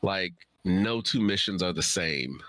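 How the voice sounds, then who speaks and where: A man's voice: deep voice, animated, measured pace, a bit bright, dry recording. A man in a casual interview chat, answering as a guest in an informal, agreeable way.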